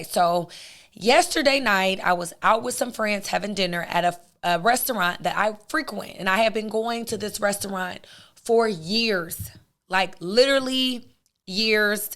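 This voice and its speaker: A hoarse woman hosts her own podcast and talks straight to her listeners. Her voice sits at an average height for a woman and has a rough rasp. She comes across as casual, chatty and outspoken. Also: medium pitch, measured pace, a bit bright. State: hoarse, raspy voice. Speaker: podcast host.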